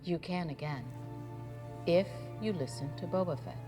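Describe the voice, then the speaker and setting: Very low-voiced cool female mercenary played by an actress. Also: moderate pace, lightly acted. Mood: firm. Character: cool, independent female assassin for hire, blunt. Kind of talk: screen drama dialogue. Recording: music behind film dialogue.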